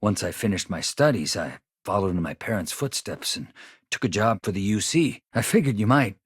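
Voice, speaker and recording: A man's voice: on the low side, lively intonation, fairly quick, husky, dry recording, studio quality. A man voicing an earnest, anxious space engineer in a video game, a shaken survivor who speaks politely and hesitantly. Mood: polite, grateful.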